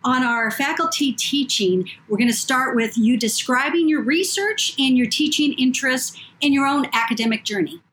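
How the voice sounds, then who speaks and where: A woman's voice: high-pitched, expressive, moderate pace, few pauses, fairly bright tone, a little hiss or hum, poor audio. A woman podcast host reading a polished welcome and introducing her guest. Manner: reads a polished welcome script. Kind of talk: scripted podcast intro and interview. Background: music.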